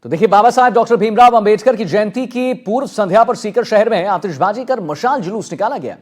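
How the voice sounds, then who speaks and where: A man's voice: sits high, lively intonation, a little fast. A man presenting live television news as an anchor.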